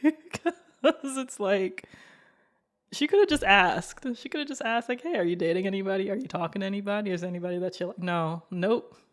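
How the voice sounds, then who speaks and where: Young woman podcast host with a slow, flat, monotone voice, casual and candid. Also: medium pitch. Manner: laid-back and candid, with frequent laughs and fillers. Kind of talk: casual solo podcast monologue.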